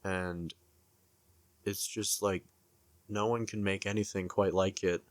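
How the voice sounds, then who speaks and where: Male commentator, low voice, slow and halting, thinking aloud in an unscripted reflection. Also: flat delivery, no echo. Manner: halting, searching for words, repeats himself. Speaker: video essay commentator.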